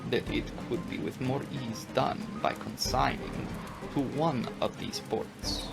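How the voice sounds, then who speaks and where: A man voicing an old vampire count in an audiobook, speaking slowly and formally with courteous menace and dramatic pauses. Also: mid-range voice, creaky, over loud music. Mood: courteous, deliberate. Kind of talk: dramatic audiobook character reading.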